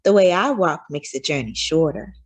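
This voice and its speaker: A woman voicing a loving, patient mother who gently reassures her young son in a children's storybook read-aloud. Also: on the low side, normal speed, breathy edge. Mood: tender, reassuring.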